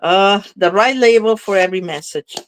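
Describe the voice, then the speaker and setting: A woman's voice: medium pitch, normal speed, a little hiss or hum. A slightly congested woman chatting casually as she demonstrates card making, thinking aloud while she works. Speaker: craft demonstrator. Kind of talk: live crafting demo chat.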